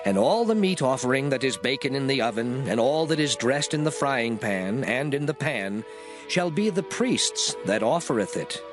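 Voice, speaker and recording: A man giving a solemn, declarative, measured dramatized reading of old scripture. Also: mid-range voice. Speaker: scripture reader. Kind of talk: dramatized King James Bible reading, scripted. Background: music.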